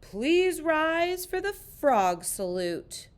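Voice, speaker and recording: Storybook schoolteacher, acted by a woman: silly and cheerful, high-pitched, swooping sing-song announcements. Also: very animated, drawn-out words, full character voice. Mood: cheerful announcing.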